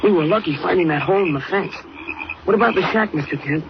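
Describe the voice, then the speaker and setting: An actor playing an eager, excitable boy sidekick with an American accent in a 1940s radio serial, boyish and wide-eyed. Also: sits high, quick pace, gravelly. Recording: muffled, very noisy old radio recording.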